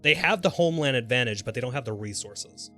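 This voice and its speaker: A man's voice: mid-range voice, lively intonation, bright, forceful tone. Man rambling casually over background music. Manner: halting, thinking aloud, mildly sweary. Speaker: video game livestreamer. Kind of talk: casual gameplay commentary and rambling chat.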